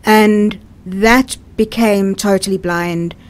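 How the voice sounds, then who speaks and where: A woman's voice: mid-range voice, steady tone, takes it slowly. A middle-aged woman with a South African accent, speaking as an interview guest and telling her own story conversationally.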